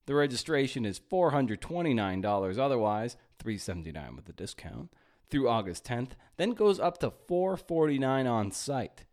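A man's voice: mid-range voice, very animated, normal speed, a little mellow. A man hosting a podcast, speaking in a punchy, emphatic way with playful exclamations. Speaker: podcast host. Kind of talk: podcast intro and interviewing.

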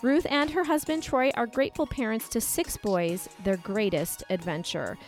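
A woman co-host reading a guest's introduction, adding lively asides to the script. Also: a little high, moderate pace, faint music behind. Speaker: podcast co-host.

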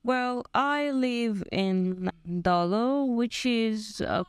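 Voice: mid-range voice, gravelly, smooth, no room sound, studio quality. An exam candidate from Zambia with a Zambian accent, giving careful, formal answers.

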